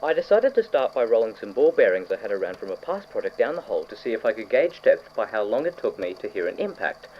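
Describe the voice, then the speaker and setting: A man playing an eager, obsessive scientist recording precise, fussy investigation notes for an audio drama on degraded cassette. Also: mid-range voice. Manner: fussy, precise, excitable, with trailing pauses. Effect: degraded old cassette tape. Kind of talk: audio drama found-tape monologue. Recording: muffled, noisy old cassette tape.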